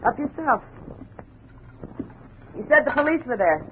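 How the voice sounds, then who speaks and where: Sardonic, hard-bitten woman, lively swooping delivery. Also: high-pitched, a little slow. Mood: wary, sardonic. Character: wary, cynical, jealous blonde woman under suspicion. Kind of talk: 1950s radio drama acting. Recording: muffled, noisy old radio recording.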